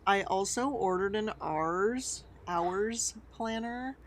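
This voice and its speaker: A woman tells a story on a casual show, relaxed and full of slang. She laughs often, and her voice sits at a medium pitch. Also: slow pace, background noise. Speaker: podcast co-host.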